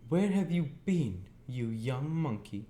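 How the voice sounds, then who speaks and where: A man's voice: medium pitch, big pitch swings, slow, dark tone, pitched above the performer's own voice. A man voicing a furious, nagging working-class woman scolding her family in a storybook reading. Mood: angry, demanding. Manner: exclamatory, nagging. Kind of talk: audiobook character reading. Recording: roomy echo, faint background noise.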